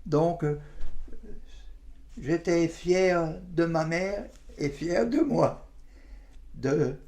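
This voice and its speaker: An elderly man in his nineties gives testimony about his memories at a very unhurried pace. His voice is gravelly and mellow, and he speaks haltingly in everyday words, circling back to repeat phrases. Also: a little high. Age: 90s. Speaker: interviewee, war witness. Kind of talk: reminiscing testimony in interview.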